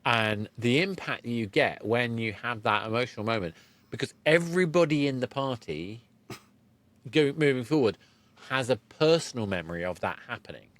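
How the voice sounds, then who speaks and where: A man hosting a podcast interview, explaining in a measured, reflective, conversational way. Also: mid-range voice, expressive, a bit bright, no room sound. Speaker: podcast host.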